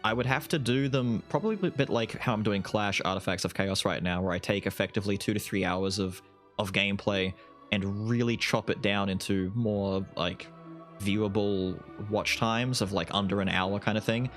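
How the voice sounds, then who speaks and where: A young Australian man with a lowish voice talks through fan questions in loose, rambling chat, often laughing at himself. Faint background music runs quietly under his voice. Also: fairly even intonation. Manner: rambling, self-deprecating, quick asides. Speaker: YouTube gaming creator answering viewer questions. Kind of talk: casual Q&A chat.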